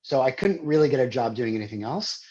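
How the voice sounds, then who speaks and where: A man's voice: medium pitch, expressive, fast talker, dark tone, dull audio. An American man, a chef, talking casually and self-deprecatingly on a video call, with many fillers and restarts. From Pittsburgh, United States. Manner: rambling, self-deprecating, filler-heavy talk. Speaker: chef and cookbook author.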